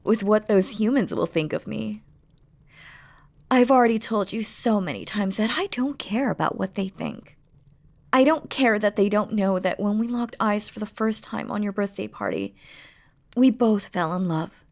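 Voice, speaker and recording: A woman playing a devoted, regal demon queen in a romantic audio roleplay, speaking softly and formally to her lover, often dropping to a whisper. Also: mid-range voice, moderate pace, natural voice. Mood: earnest, devoted. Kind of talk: scripted romantic audio roleplay, spoken intimately to the listener.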